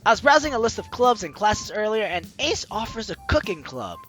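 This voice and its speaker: A young woman with a bright, forceful voice and very lively intonation. She reads game text theatrically and drops in playful, chatty commentary. Faint music sits behind her. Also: medium pitch, a little fast. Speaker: gaming YouTuber, host. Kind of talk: let's play, reading game text aloud with commentary.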